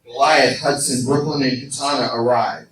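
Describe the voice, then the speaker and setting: A man's voice: medium pitch, steady tone, moderate pace, a bit bright. A man narrating superhero action from a script for a live radio play. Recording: echoey, distant, noisy fan recording.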